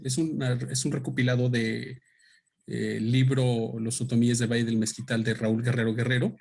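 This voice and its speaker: A man speaks into a microphone at a book presentation. His pitch is mid-range for a man, and he talks in a thoughtful, halting way, often pausing and restarting his sentences. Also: level tone, creaky, a little mellow. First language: Spanish. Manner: thoughtful, hesitant, with many fillers and restarts. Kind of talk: unscripted talk at a book presentation.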